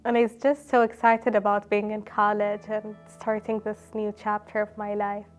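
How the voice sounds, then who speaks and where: A twenty-one-year-old woman from Oman speaks candidly and softly. Her pitch stays fairly even, with faint music in the background. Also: normal speed. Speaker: student. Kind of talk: interview.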